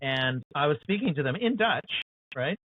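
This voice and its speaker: A man's voice: fairly high pitch, animated, normal speed. A man with an American accent, speaking as an author in an earnest interview discussion, full of passion and "you know" fillers. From United States. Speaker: author guest. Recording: dry, tinny phone-like call audio.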